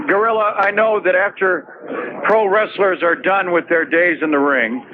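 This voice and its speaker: A man's voice: sits high, steady tone, unhurried, background noise. A man hosting a talk radio show, chatting and taking calls. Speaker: radio host. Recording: phone-like lo-fi 1980s radio recording, crowd behind.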